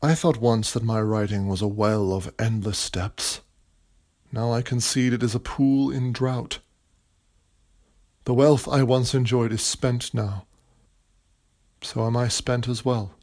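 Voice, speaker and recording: A man's voice: medium pitch, steady tone, unhurried, long pauses, a little mellow, lightly acted. Man acting solemn, despairing young writer. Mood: resigned, bleak. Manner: solemn, poetic, formal. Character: despairing, resigned writer in his twenties. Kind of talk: stage-play audio drama acting.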